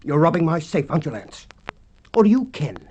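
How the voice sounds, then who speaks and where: A man's voice: a little high, very animated, brisk, a little mellow. An actor playing a stern, indignant old businessman with an Australian accent in old-time radio drama. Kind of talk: Golden Age radio drama acting. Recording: dull, hissy old radio recording.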